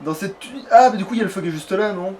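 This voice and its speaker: A man's voice: high voice, very fast, a little mellow, recorded from a distance, a little hiss or hum. A man chatting casually as he plays a video game, swearing and joking. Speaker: let's player. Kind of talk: casual gaming chat.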